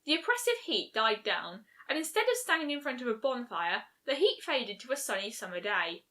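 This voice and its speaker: A woman's voice: a little high, big pitch swings, moderate pace, pushed and bright. A woman reading a scripted story aloud as narrator. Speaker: fan fiction reader.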